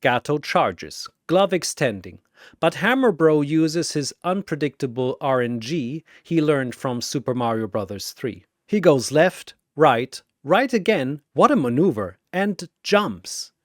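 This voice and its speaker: A man's voice: on the high side, lively intonation, a little slow, no room sound, clean studio sound. A man narrating a scripted battle story in a dramatic style.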